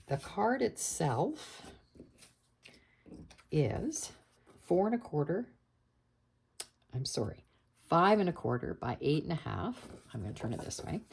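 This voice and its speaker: Croaky low-voiced woman with a cold. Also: a little mellow. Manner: chatty, explains steps patiently with fillers. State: hoarse from a cold. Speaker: card-making tutorial host. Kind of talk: casual crafting tutorial.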